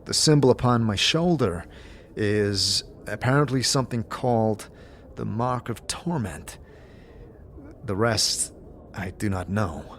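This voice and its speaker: A man in a fantasy roleplay, moving between narration and dialogue in a halting, tentative manner. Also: lowish voice. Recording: faint background noise.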